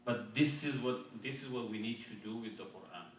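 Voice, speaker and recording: A man's voice: on the low side, a little hiss or hum. Man preaching in a very echoey hall. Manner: earnest, repeats and restarts phrases, recites Arabic verses quickly. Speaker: speaker at an Islamic conference. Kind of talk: sermon. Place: mosque hall. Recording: very echoey hall, speaker far from mic.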